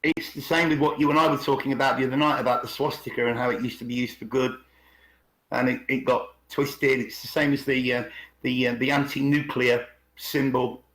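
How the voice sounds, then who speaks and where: Man in an echoey room, halting talk. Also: mid-range voice, little pitch movement, fairly bright tone. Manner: halting, repeats words, asks for agreement. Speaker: podcast host. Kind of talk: rambling conspiracy talk with reading aloud. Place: online video call. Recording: roomy, echoey video call.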